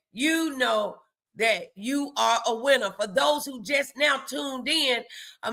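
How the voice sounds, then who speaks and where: A woman delivers faith-based motivation as a livestream host. Her voice is bright and forceful, with a creaky edge. She takes her time, leaning on key phrases and repeating them emphatically as she urges listeners on. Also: a little high.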